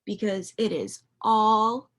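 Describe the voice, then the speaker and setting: A woman reading a picture book to young children like a teacher, expressive and questioning, with dramatic emphasis and a slightly husky voice. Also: mid-range voice. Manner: animated, expressive storytelling with dramatic emphasis and pauses. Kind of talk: interactive children's read-aloud, asking questions.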